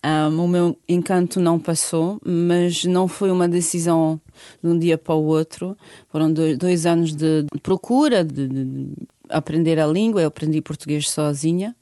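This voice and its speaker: A woman's voice: low-pitched, steady tone, normal speed, warm tone, no echo, clean studio sound. A middle-aged woman from Bosnia, speaking a learned earnestly and searching for words in a radio interview. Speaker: guest singer.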